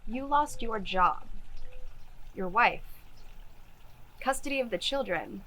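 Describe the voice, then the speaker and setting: A woman playing an earnest, skeptical local TV field reporter in a mock news report, measured and probing. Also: fairly high pitch, animated, very noisy.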